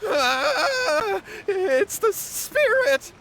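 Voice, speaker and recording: A man's voice: extremely high pitch, big pitch swings, extremely slow, sharp tone, full character voice. A man playing a timid, remorseful young man in a video game, fretful and pleading. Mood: terrified.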